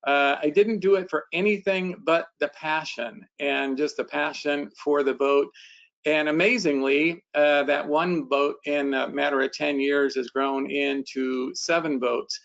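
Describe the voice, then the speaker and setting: A man's voice: fairly high pitch, steady tone, a little slow, a bit bright, muffled recording. A man who founded a river cruise company, speaking earnestly and with self-deprecation on a webinar panel. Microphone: video call.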